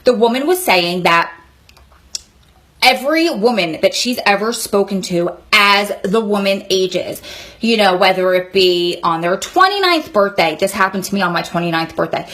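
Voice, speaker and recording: A woman's voice: mid-range voice, lively intonation, normal speed, a bit bright. A woman of about forty giving candid advice in a vlog, speaking in an earnest, encouraging, conversational way. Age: 39, nearly 40. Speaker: vlogger advising prison wives.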